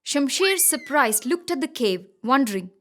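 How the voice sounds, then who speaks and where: A woman reading scripted children's stories as an expressive, dramatic storyteller. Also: high voice, moderate pace. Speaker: storyteller, narrator.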